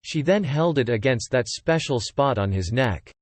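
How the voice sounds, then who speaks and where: A man narrating fiction from a script as an audiobook narrator, in a measured, descriptive way. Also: medium pitch, expressive, no room sound.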